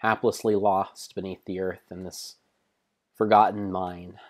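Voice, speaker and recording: A man reading mock-dramatic storybook narration of a game, in a theatrical, solemn way with deliberate pauses. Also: low voice, level tone. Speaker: live streamer narrating a game.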